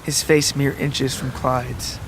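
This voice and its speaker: A man's voice: medium pitch, level tone, takes it slowly, a little hiss or hum, low-quality recording. A man hosting and narrating his own scripted storytelling podcast. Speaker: podcast writer, narrator and host. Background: guitar music and rain.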